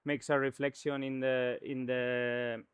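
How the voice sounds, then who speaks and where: Male artist guest, smooth flat delivery, halting and searching for words. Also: medium pitch, dry recording, studio quality. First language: Spanish. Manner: hesitant, searching for words, many fillers. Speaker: painter, interview guest. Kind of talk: conversational art talk.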